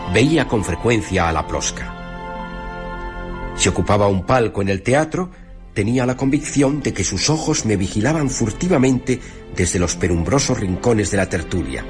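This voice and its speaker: Guy with a lowish voice reading a story radio-drama style, pauses for effect, music playing behind him. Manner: measured, theatrical storytelling with deliberate pauses. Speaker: narrator reading a short story. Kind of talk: dramatic literary radio narration in first person. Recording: music under the voice.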